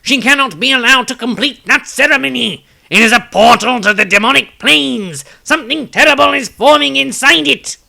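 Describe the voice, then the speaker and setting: A man's voice: extremely high pitch, moderate pace, vocal fry, hardly any pauses, sharp tone, voice pushed up, heavily acted. A man voicing a stern, urgent halfling adventurer in a fantasy story, speaking in emphatic exclamations. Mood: alarmed, urgent.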